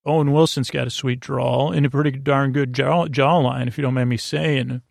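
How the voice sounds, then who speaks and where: A man hosting a sleep podcast with a goofy, rambling bedtime monologue, haltingly trailing off and doubling back. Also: mid-range voice, fairly even intonation, vocal fry, no echo, studio quality. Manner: whimsical, goofy.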